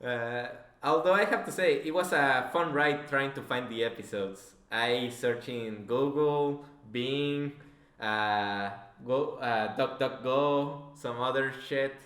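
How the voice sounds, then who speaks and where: Male interviewer, bright tone, teasing casual chat, echoey room sound. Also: mid-range voice, takes it slowly. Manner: teasing, sarcastic. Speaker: podcast interviewer. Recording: roomy, echoey voice recording.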